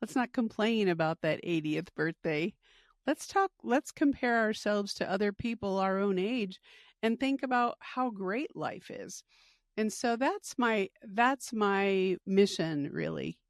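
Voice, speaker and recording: A woman's voice: mid-range voice, expressive, measured pace, no room sound, clean studio sound. A middle-aged woman podcast host, talking about her work in an earnest, upbeat, rambling way with fillers. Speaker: podcast host and retirement educator. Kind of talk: casual interview chat.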